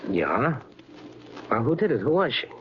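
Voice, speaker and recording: A man's voice: mid-range voice, sing-song, normal speed, highs cut off, background noise, lightly acted. An American actor playing a wry, flirtatious Chicago newspaperman who narrates his own case in a hard-boiled 1950s radio drama style. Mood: questioning, concerned. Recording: band-limited old radio recording, prominent music.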